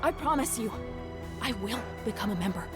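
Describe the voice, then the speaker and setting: A woman's voice: on the high side, animated, fairly quick, close to an everyday voice. A woman playing a determined young fan club devotee in a video game. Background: game music.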